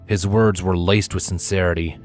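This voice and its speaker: Male story narrator, low pitch, scripted fiction reading, background music. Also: steady tone, moderate pace. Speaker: narrator, fan fiction reader.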